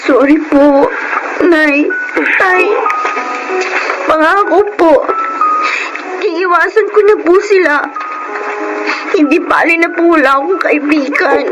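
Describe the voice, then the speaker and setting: A woman's voice: extremely high pitch, takes it slowly, long pauses, loud music behind the voice, poor audio. An actress playing a shy, earnest young woman in a Filipino radio drama, with a Filipino accent. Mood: remorseful. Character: shy, earnest teenage daughter, later a grown doctor.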